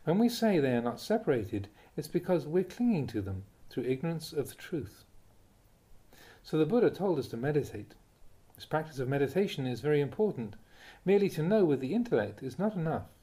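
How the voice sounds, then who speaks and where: A man reading a Buddhist dharma talk aloud as a monk, in measured, deliberate phrases with pauses. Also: fairly high pitch, very animated, mellow.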